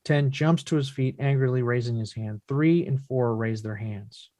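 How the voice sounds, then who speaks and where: A man narrates the stage directions of a play from a script. His delivery is measured and descriptive, in a middle pitch. The recording is dry, with very little room sound. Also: warm tone, no room sound.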